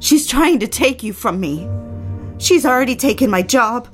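An actress voices a fragile young wife from a period audio drama, high in pitch and anxious. She pleads hesitantly, lonely and jealous. Faint music sits behind her voice. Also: lively intonation, normal speed, natural voice. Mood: anxious, defensive.

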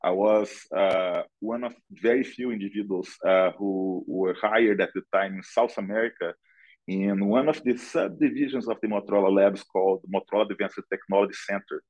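The deep-voiced Brazilian man you'd hear as a remote guest on an industry podcast, picking his words haltingly over a slightly dull connection. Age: middle-aged. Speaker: podcast guest, analytics director. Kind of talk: conversational interview answers.

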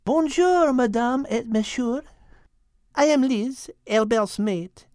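A man voicing a gentle, caring female cat in a storybook reading, speaking softly and earnestly. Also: very high voice, clear, resonant tone, no echo, clean studio sound, pitched above the performer's own voice. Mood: concerned. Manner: soft, slow and earnest, sprinkled with French words.

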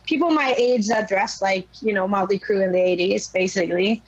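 A young woman from Sweden with a naturally raspy voice, a musician guest talking in a loose, rambling way with lots of fillers. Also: mid-range voice, fairly quick, no room sound, lo-fi sound. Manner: rambling, many fillers and restarts. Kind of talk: casual interview chat.

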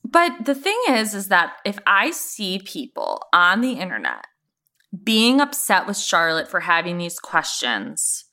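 A woman's voice: mid-range voice, very animated, measured pace, sharp tone. A woman co-hosting a podcast, talking informally and conversationally, with plenty of "like" and "you know". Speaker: podcast co-host. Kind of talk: casual TV recap discussion.

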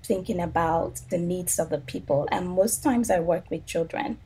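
A woman's voice: on the low side, moderate pace, mellow, breathy edge, poor audio. A woman from Africa, a social work professor, explaining her views earnestly as a podcast guest. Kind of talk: interview answers.

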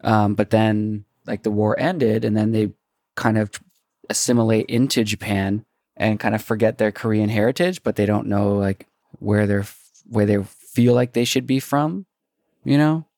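A man's voice: on the low side, fairly even intonation, normal speed, a little mellow, dry recording, studio quality. A man hosting a casual chat, talkative and eager to recommend things.

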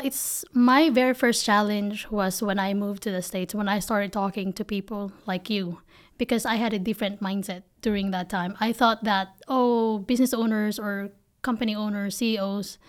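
A woman's voice: medium pitch, steady tone, moderate pace. A woman from the Philippines with a Filipino accent, speaking candidly and emphatically in conversational interview answers as a company founder.

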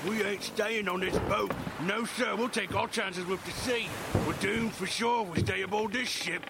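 An actor playing a frightened, desperate sailor in a nautical audio drama, with a pleading, fearful manner. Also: male voice, high-pitched, steady tone, moderate pace, vocal fry, heavy background noise. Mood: fearful, resigned.

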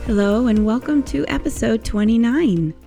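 A woman hosting her own podcast with a croaky, hoarse voice, talking in an encouraging, gently instructive way about a movement lesson. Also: on the high side, normal speed, over music. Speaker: podcast host and movement teacher. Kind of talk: casual podcast monologue.

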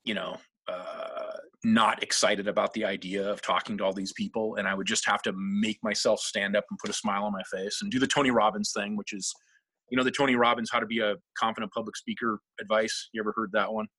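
Husky-voiced man, casual and rambling. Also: fairly low pitch, fairly even intonation, fairly quick, sharp tone, dry recording. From Seattle, USA. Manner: rambling, self-deprecating, laughs often. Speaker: guest. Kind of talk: casual interview talk.

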